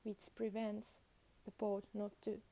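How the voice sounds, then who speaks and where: A woman leading a guided meditation as a teacher, speaking soothingly in short phrases with long pauses between them. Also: medium pitch, monotone, creaky, dark tone.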